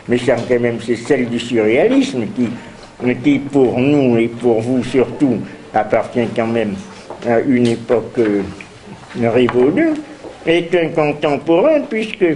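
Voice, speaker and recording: An older man with a heavy head cold hosts a university seminar in a slow, hesitant way, full of pauses and restarts. His voice sits at an ordinary pitch, and faint background noise hangs in the room. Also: darkish tone, rough recording. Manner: halting, many fillers and restarts. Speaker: seminar host. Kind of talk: academic seminar introduction.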